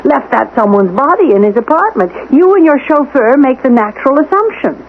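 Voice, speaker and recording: Prim, probing assistant on thin radio. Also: female voice, sits high, big pitch swings, fairly quick. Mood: insistent, formal. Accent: American. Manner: formal, reasoning, firm. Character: composed, prim, unmarried woman assistant, insistent and probing. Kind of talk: 1970s radio drama acting. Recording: narrow-band, faintly noisy 1970s radio recording.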